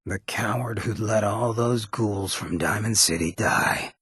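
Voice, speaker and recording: An actor playing a swaggering, sardonic but loyal male ghoul rebel in a video game, with a very raspy, gravelly American voice and a streetwise, folksy drawl. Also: fairly low pitch, measured pace, fairly bright tone, dry recording, clean studio sound, full character voice. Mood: regretful. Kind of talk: video game companion voice acting.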